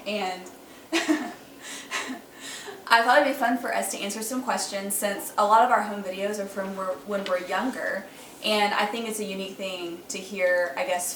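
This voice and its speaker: A woman's voice: medium pitch, a bit bright. A woman, hosting a casual Q&A with her siblings and laughing often. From Texas. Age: 30s. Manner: laughs often, chatty and giggly. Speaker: host. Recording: echoey room, mic at a distance, faint noise.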